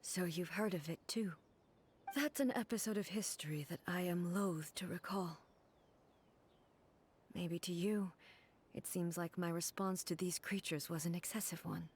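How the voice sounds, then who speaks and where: A solemn, soft-spoken divine ruler. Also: female voice, on the low side, a little hiss or hum. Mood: grave. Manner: measured, grave, soft-spoken. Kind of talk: video game cutscene dialogue.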